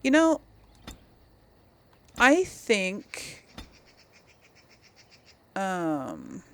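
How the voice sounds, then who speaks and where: A woman's voice: mid-range voice, wildly swooping pitch, very slow. A woman giving casual game commentary, musing aloud as she plays and role-plays dialogue. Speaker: gamer, series host.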